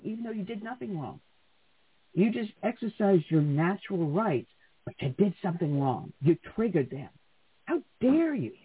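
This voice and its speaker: A man's voice: sits high, slightly rough. A man co-hosting a conservative talk radio show, telling stories in an opinionated, indignant way. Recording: tinny phone-like sound.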